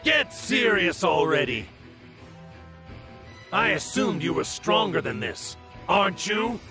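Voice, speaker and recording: An actor playing a stern, cold, challenging fighter in an anime video game, curt and commanding. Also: male voice, sits high, very animated, slow pace, gravelly, exaggerated voice. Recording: music behind.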